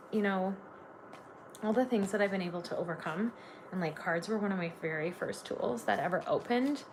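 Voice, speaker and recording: A woman's voice: medium pitch, noisy background. A woman from Canada giving a live tarot reading, chatty and gushing, full of "like" fillers. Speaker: tarot reader.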